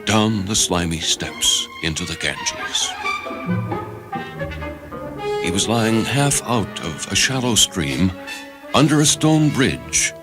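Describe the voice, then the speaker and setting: A man reading a short story as dramatic scripted narration. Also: lowish voice. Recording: music under the voice. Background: background music.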